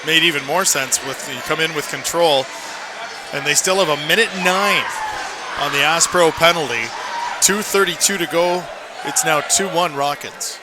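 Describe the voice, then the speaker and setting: A man's voice: a little high, moderate pace, crowd noise. A man giving opinionated, emphatic color commentary on a live hockey radio broadcast. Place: indoor ice rink. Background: loud rink ambience and noise.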